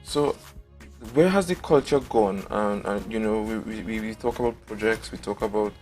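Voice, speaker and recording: A man's voice: lowish voice, normal speed, a little mellow, soft background music. A man hosting a morning talk show, asking a long question with many fillers and restarts. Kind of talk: political talk show discussion.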